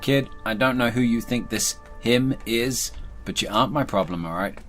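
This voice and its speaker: An actor playing a weary, reluctant soldier on the run in an audio drama, speaking curtly and dismissively. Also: male voice, medium pitch, normal speed, fairly bright tone, close to an everyday voice. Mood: dismissive, sardonic. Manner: curt, dismissive. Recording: music playing behind the voice.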